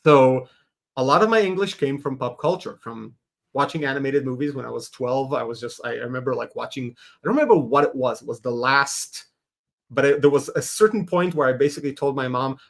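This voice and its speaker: A man's voice: medium pitch, brisk, vocal fry. A man, a Hebrew speaker, talking animatedly as a guest in a casual podcast interview, laughing often. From Israel. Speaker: guest artist.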